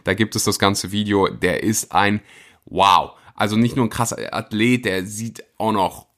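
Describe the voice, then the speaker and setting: A man hosting his podcast, introducing the episode in an energetic, casual way. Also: fairly low pitch. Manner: energetic, enthusiastic, speeds up and slows for emphasis. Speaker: podcast host.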